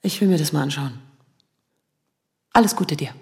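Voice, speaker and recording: An actress playing a nervous, self-doubting lawyer in her thirties in a naturalistic radio drama, speaking in a halting, rambling way. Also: deep voice, lightly acted. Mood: warm, rambling. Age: 30s. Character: nervous, self-doubting 35-year-old asylum lawyer, single woman.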